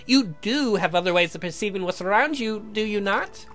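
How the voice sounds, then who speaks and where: A man voicing a polite, pedantic young scientist in a visual novel, speaking formally and haltingly as he explains. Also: high-pitched, lively intonation, a bit bright. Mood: deferential. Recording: music behind the voice.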